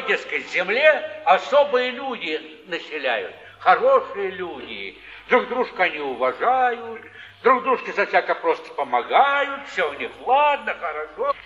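A kindly, sly old wanderer in a stage drama, his voice high and swooping. He soothes and coaxes with folksy repeated phrases, like a born storyteller. The sound is distant, in a big reverberant hall. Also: sharp tone, heavily acted. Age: older. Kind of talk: mid-century Russian stage acting. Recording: echoey theatre stage, distant, dull archive sound.

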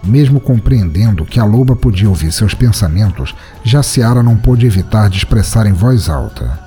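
Mellow, low Brazilian male storyteller. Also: expressive, moderate pace, soft background music. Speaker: narrator of a fiction podcast. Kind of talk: scripted dramatic storytelling narration.

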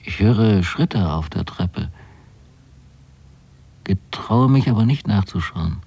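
A watchful young man confides in a low, dark, husky voice. He is hesitant and deliberate, uneasy among strangers, speaking intimately as if writing home in a radio play. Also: animated, heavily acted. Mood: uneasy, hushed. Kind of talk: intimate radio drama monologue, spoken as a letter.

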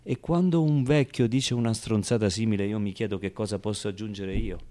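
A man's voice: fairly low pitch, fairly even intonation, fairly quick, mellow, close to an everyday voice. A man playing a streetwise, wry and wounded ten-year-old orphan boy in a theatrical radio monologue, talking in slangy, colloquial bursts and muttered asides. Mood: indignant, impassioned. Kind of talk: theatrical radio monologue, first-person storytelling.

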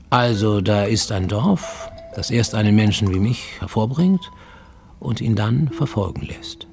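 Haunted, low, creaky middle-aged fugitive. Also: male voice, darkish tone, slight background noise. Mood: insistent, argumentative. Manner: intense, insistent, formal, dropping to near-whispers. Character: haunted middle-aged Japanese fugitive, unlicensed medic in Mexico. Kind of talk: German radio play monologue.

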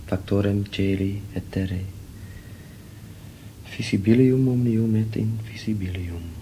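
An actor playing a gentle, devout young village priest, speaking softly and with patient earnestness in a radio drama. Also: male voice, deep voice, vocal fry, slight background noise, rough recording. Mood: praying.

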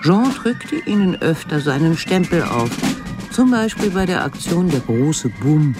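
An actress playing a woman artist who narrates her memories and inner life in a measured, literary radio play delivery, over music. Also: low-pitched. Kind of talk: radio drama monologue and narration.

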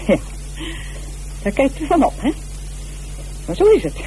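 A woman's voice: high voice, huge pitch swings, unhurried, mellow, a little hiss or hum, heavily acted, low-quality recording. An actress playing a defensive, self-righteous wife and mother, in radio drama style. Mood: agitated, self-righteous. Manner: haughty, insistent, breaking into agitated self-justification.

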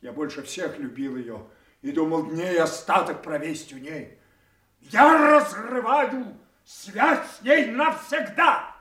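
An imperious old king, speaks in a high-set voice with sweeping pitch. He declaims slowly and solemnly for a classical audio drama, commanding and quick to anger, in an echoey space. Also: lively intonation, pushed and bright, full character voice. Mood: furious.

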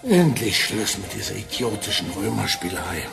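A man's voice: on the low side, sing-song, normal speed, vocal fry, over music, full character voice. A man playing a cold, scheming corporate villain in a radio play, sardonic and gloating. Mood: smug. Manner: sardonic, self-satisfied. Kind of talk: German radio play acting.

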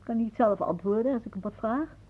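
A woman's voice: on the high side, fairly quick, breathy edge. An actress playing an anxious, indignant older lady with a prim, questioning manner in a radio play. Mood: uneasy. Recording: muffled, narrow old radio recording.

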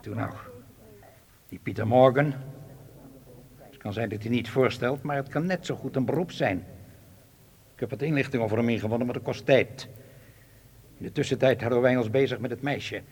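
An actor playing a cold, ruthless spy boss in a radio drama, with a commanding, menacing, deliberate delivery. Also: male voice, mid-range voice, a little mellow, breathy edge. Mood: calculating. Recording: hall-like long reverb.

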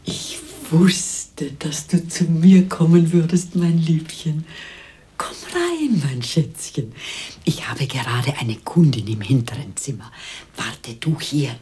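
An older woman playing a cooing, pious, boastful fortune-teller and former madam in a radio drama, with a theatrical, husky delivery. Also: sits low, expressive, slow, warm tone, slight background noise. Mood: cooing, welcoming.

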